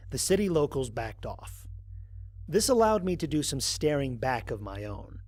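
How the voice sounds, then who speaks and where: A man performs a human explorer reading his mission log in an audio drama. His voice is fairly high for a male and slightly rough, with very animated intonation. He reports methodically, with dry, wry formality and measured phrasing. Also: dry recording. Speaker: narrator. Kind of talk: scripted first-person audio drama narration.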